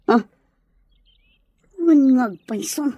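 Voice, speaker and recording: A woman playing a practical, caring rural elder sister in an audio drama, gentle and matter-of-fact. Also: sits high, animated, slow, no room sound, highs cut off, exaggerated voice. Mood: concerned. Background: faint music.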